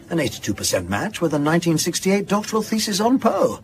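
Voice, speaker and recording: An actor playing a pedantic male lecturer who relishes his own revelations, with theatrical emphasis and drawn-out pauses in a radio drama. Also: high voice, big pitch swings. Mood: excited, revelatory. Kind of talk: naturalistic radio drama acting.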